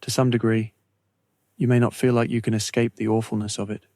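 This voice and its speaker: A man narrating a scripted guided meditation in a measured, soothing way, with deliberate phrasing and pauses. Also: fairly low pitch, little pitch movement, darkish tone, no echo.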